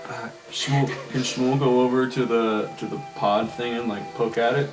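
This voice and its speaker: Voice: mid-range voice, steady tone, normal speed, music in the background, rough recording. A player chatting casually during an improvised tabletop roleplay game. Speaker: podcast player.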